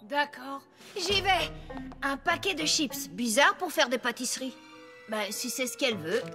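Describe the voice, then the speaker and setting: A woman's voice: high-pitched, lively intonation, unhurried. A woman voicing a stubborn, worn-out young female farm pony in a cartoon, muddled and rambling. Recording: cartoon music behind the voice.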